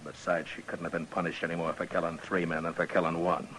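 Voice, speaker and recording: A very low, grave American man's voice as a weary frontier lawman in an old radio western. He is terse and commanding, on a noisy vintage recording. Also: little pitch movement, quick pace, fairly bright tone. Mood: grave, accusing. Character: stern, weary US marshal of the Old West. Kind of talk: 1950s radio western acting.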